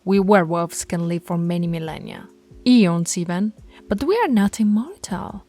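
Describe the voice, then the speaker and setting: A woman voicing a stern, commanding female werewolf pack alpha in an ASMR audio roleplay, bossy and scolding, sometimes dropping to soft whispers. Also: lowish voice, expressive, normal speed, faint music behind.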